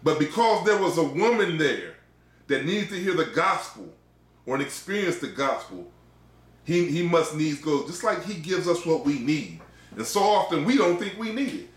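A man's voice: a little high, big pitch swings, moderate pace. A middle-aged American man preaching an informal sermon in an exhorting way, repeating phrases for emphasis. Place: small indoor room. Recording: echoey, roomy sound.

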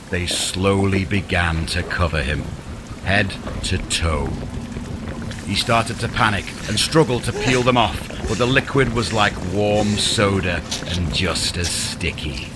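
A man reading a scripted fantasy audiobook in a dramatic, deliberate storytelling manner. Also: fairly low pitch, animated, background noise. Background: music under the voice.

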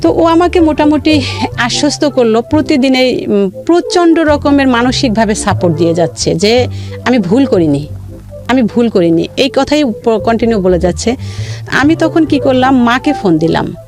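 A woman with a Bangladeshi accent telling her own life story in an interview, in a halting, detailed way with repeated phrases. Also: a little high, animated. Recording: music playing behind the voice. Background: background music.

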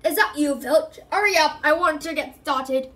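A woman acts out a gruff gamekeeper, a blunt storybook man with a protective streak. He growls his rustic phrases loudly and firmly. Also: on the high side, fairly even intonation, moderate pace, lightly acted. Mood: brusque. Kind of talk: storybook character reading.

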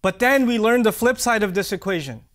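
High-voiced man preaching a sermon. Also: fairly quick. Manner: expressive, gestures broadly, recites Arabic verses then explains them. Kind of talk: Friday sermon. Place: small prayer hall, indoors. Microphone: lapel mic.

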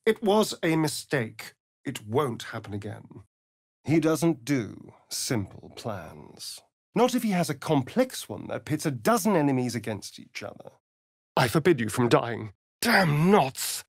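A man with a British accent and a breathy voice playing a vain, sardonic, flirtatious vampire spawn in a video game, with an arch, mocking drawl. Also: on the high side, dry recording, studio quality, full character voice. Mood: defensive, then uneasy, dry. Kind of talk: theatrical video game character acting.

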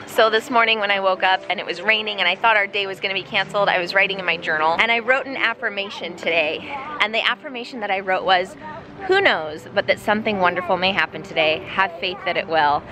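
A woman's voice: medium pitch, fast talker. A woman in her thirties hosting a family vlog, chatting cheerfully with her children and to the camera, recorded outdoors on a handheld camera. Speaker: vlog host and mother. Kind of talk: casual family vlog narration. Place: outdoors in a city and on an open trolley.

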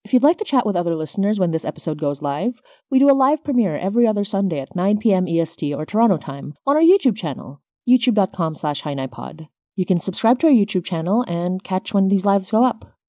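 A woman's voice: mid-range voice, sing-song, fast, few pauses, mellow, dry recording, studio quality. A woman hosting her podcast, reading scripted announcements as its creator.

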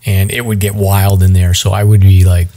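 A man's voice: low voice, level tone, moderate pace, mellow. A middle-aged man, hosting a podcast in casual, rambling chat. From Massachusetts. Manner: chatty, rambling with fillers. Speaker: podcast host.

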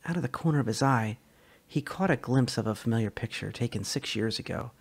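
A man's voice: medium pitch, lively intonation, a little fast, gravelly, slightly rough. A man giving a dramatic reading of a short story as narrator.

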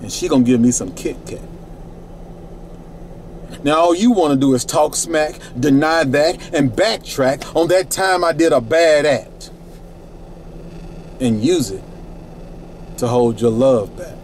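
A man's voice: fairly high pitch, slow, pauses often, a little mellow, a little hiss or hum. A middle-aged man reciting his own rhyming spoken word poetry in a laid-back, rhythmic way from inside a parked minivan at night.

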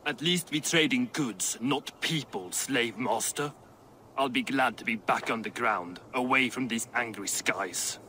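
An actor playing a middle-aged, grumbling dwarf smith in a video game, blunt and wry. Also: male voice, mid-range voice, a little slow, background noise, close to an everyday voice. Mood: resentful. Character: gruff, proud, grumbling male dwarf smith. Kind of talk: cinematic video game acting.

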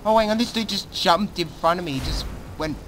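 A young man with a cold and a croaky voice, chatting hesitantly while playing a game with a friend. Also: high-pitched, expressive, a little fast, crowd noise. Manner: rambling, hesitant with many fillers. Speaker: co-player. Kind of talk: casual gameplay chat. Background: game music.

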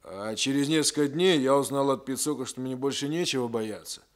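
A man acts a sullen crook being questioned in an old radio play. His voice is medium-pitched, and he talks in a grudging, defiant, streetwise way. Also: fairly quick, close to an everyday voice. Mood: grudging confession. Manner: grudging, colloquial. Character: sullen, defiant male criminal under interrogation. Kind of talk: 1960s Soviet radio drama acting.

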